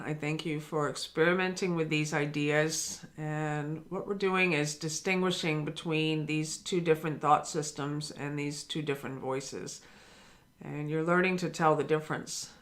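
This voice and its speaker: Earnest woman giving a spiritual lesson. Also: sits low. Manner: earnest and encouraging, speaks to the listener directly. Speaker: spiritual teacher. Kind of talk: daily spiritual lesson talk.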